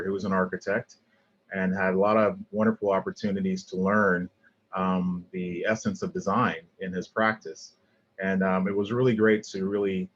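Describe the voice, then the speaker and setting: A man's voice: deep voice, lo-fi sound. A middle-aged man from Liberia, giving an earnest design lecture over slides with many ums and restarts. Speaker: guest lecturer, landscape architect.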